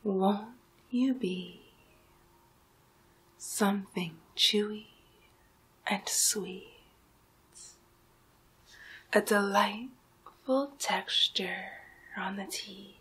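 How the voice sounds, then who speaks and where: Woman acting as seductive Halloween monster, very breathy whisper, extremely slow, coaxing, close-miked ASMR roleplay. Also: mid-range voice, fairly bright tone, exaggerated voice. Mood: enticing. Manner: slow, coaxing, drawn-out words.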